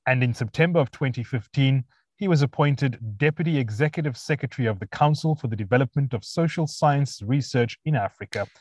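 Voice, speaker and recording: A man hosting an interview, reading a prepared introduction and then asking questions with pauses. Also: mid-range voice, darkish tone. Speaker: podcast host.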